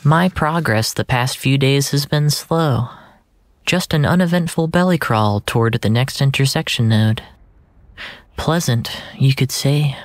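A narrator reading scripted first-person fiction in a measured, literary storytelling manner. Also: medium pitch, no echo. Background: music.

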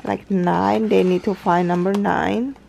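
Young mother with a gravelly voice. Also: medium pitch, steady tone, measured pace, dark tone, a little hiss or hum. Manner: chatty, explains step by step with many "like" fillers. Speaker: parenting vlogger, mother. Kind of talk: casual how-to vlog narration. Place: living room at home, indoors. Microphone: handheld camera filming from above, speaker off screen.

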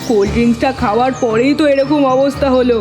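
Young child, caring and bright, for animation, played by a woman: high pitch, light music underneath. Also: fast, natural voice. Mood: alarmed. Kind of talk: children's cartoon acting.

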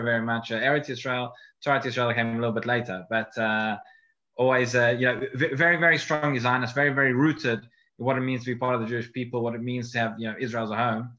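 A man's voice: mid-range voice, pushed and bright. A man with a South African accent, a rabbi guest speaking earnestly and repeating words for emphasis. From Johannesburg, South Africa. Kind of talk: casual podcast chat. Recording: dull lo-fi audio.

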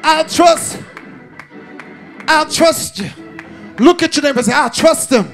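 A man preaching a fervent, half-chanted sermon in a gravelly voice, repeating phrases rhythmically and punctuating them with "uh". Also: extremely high pitch, lively intonation, a little slow, fairly bright tone. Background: music behind the preaching.

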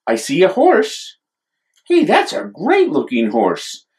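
Picture book role: wide-eyed young American boy, earnest and expressive, taking his time read by a man. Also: high-pitched, slow, a little hiss or hum, pitched above the performer's own voice, heavily acted. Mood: excited wonder.

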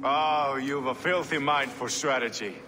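An actor playing a hard, cynical medieval warrior in a game cutscene, with a blunt, wry and sceptical way of talking. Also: male voice, mid-range voice, steady tone, a little slow, natural voice. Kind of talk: dramatic game cutscene acting. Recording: reverberant hall, faint ambient noise.

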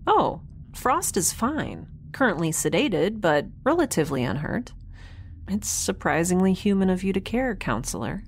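An actress playing a wry young scientist on a space station, casual, breezy and sarcastic, in a science fiction audio drama. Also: female voice, fairly low pitch, very animated, moderate pace, a little hiss or hum. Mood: dry, sarcastic.

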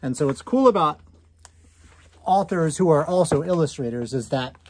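An American man in his thirties reading a picture book aloud to children, commenting and asking questions with drawn-out emphasis on key words. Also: fairly high pitch, expressive, husky. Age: 30s. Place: small indoor room at home.

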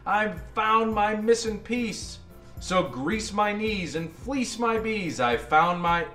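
A man's voice: high-pitched, expressive, takes it slowly, bright, forceful tone, reverberant, faint music behind, pitched above the performer's own voice, full character voice. Man voicing a singsong, innocent little storybook wanderer. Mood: joyful singsong. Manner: singsong, earnest. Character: hopeful, innocent rolling circle searching for its piece. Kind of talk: storybook character voice.

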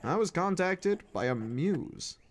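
An eccentric, obsessive middle-aged male researcher of the paranormal, acted out by a man, narrates his journal for an audiobook. His voice sits a little high and swings widely in pitch. The delivery is theatrical, learned and emphatic. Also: big pitch swings, takes it slowly, a little hiss or hum, heavily acted. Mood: confiding. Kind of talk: dramatic first-person audiobook narration.

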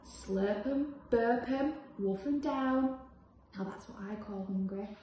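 A woman's voice: fairly high pitch, slow, warm tone. A woman voicing a boastful, scoffing spotty dog in a storybook, with emphatic sing-song phrasing. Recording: echoey room, faint background noise.

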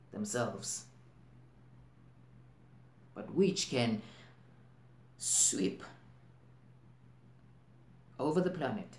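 A man playing an anxious, intense worrier in a dramatic stage monologue, in deliberate broken phrases with emphatic swells. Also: medium pitch, darkish tone. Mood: brooding, ominous. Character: anxious, intense man brooding on global plagues. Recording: roomy echo, faint background noise.

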